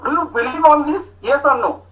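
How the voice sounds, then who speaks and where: A man with an Indian English accent giving an online talk to students, speaking slowly with many hesitations as he reads out their answers. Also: very high voice. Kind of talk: online lecture with student chat. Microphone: video call. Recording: muffled video call audio.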